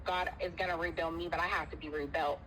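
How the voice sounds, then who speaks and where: A woman's voice: fairly low pitch, steady tone, fast, a bit bright. A woman in a heated personal argument on a phone call, speaking emphatically and repeating herself to press her points. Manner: emphatic, repetitive, talks over the other speaker. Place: phone call with noise behind. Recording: noisy phone call recording.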